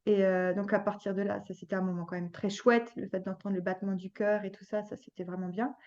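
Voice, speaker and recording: A woman's voice: medium pitch, little pitch movement, quick pace, darkish tone, lo-fi sound. A woman in her thirties telling a personal story as a podcast guest, hesitant, with many fillers and restarts. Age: 30s. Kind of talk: personal story, casual chat.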